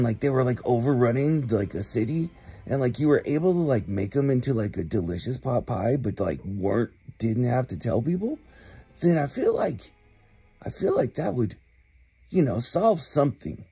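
Man, slow-paced slacker ramble, animated intonation, casual phone-message style, drawn-out words. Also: medium pitch, slightly rough, no echo. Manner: laid-back slacker, drawn-out words, frequent "like", chuckling. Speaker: comic voicemail caller.

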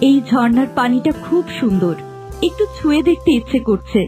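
A woman voicing a gentle, compassionate queen in a fairy-tale cartoon, speaking earnestly. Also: sits high, animated, a little fast, faint music behind. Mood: tender.

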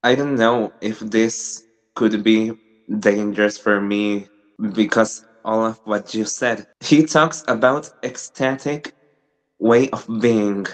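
Young Argentine man on a webcam call, slow and halting, Spanish-accented English. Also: on the low side, steady tone, low-quality recording. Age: young adult. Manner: hesitant, restarts, gestures while talking. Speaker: caller asking a question. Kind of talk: hesitant personal question. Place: small room with brick wall, indoors. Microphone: video call webcam.

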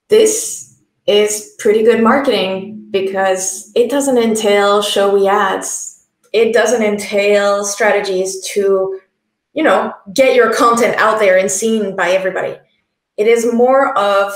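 Young Quebec French speaker, earnest presenter, slightly high voice, roomy home recording. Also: female voice. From Quebec, Canada. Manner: earnest, explanatory, with slow emphatic stretches. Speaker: conference speaker. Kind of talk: presentation talk. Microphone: headset.

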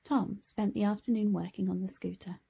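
A woman narrating a children's picture book, expressive and deliberate in her phrasing. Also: mid-range voice, gravelly, husky. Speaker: storybook reader. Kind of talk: scripted children's story narration.

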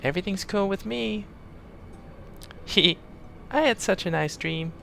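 A woman's voice: mid-range voice, takes it slowly, gravelly, fairly bright tone, a little hiss or hum, voice pushed up. A man voicing a bubbly, upbeat teenage girl in a perky, sing-song way. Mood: cheerful. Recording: game soundtrack music behind.

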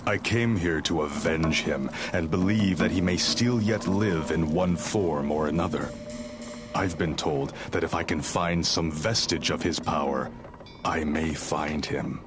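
An actor playing a grim masked ice ninja warrior in a video game cutscene, speaking in a grave, measured, cold way. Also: male voice, very deep voice, music in the background.

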